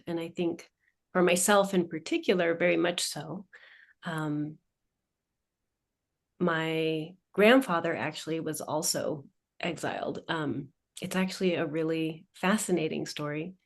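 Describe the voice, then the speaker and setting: Thoughtful, low-voiced woman in her forties. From United States. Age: 40s. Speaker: guest, leadership coach. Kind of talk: conversational interview storytelling.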